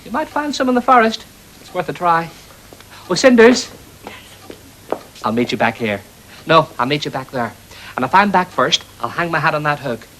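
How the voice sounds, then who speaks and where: Daft young servant, bouncy swooping delivery, comic patter, noisy recording. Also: male voice, on the high side, sing-song, normal speed, long pauses, fairly bright tone. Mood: cheerful, daft. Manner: chatty, gormless, bouncy. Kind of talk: pantomime comic patter to audience.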